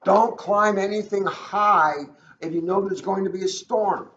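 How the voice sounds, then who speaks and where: High-voiced man reading a storybook, echoey room. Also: unhurried, fairly bright tone. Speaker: police officer reading a children's book. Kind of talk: read-aloud storytelling with casual asides. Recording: echoey room, dull lo-fi, faint noise.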